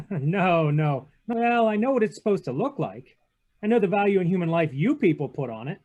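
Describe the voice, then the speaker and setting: An actor playing a wry, impatient private detective in a 1940s radio drama, terse and sardonic. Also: male voice, high-pitched, animated, moderate pace, a little mellow, muffled recording. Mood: dry. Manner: terse, sardonic, hard-boiled. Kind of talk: 1940s radio drama read-through with narration. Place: video call read-through.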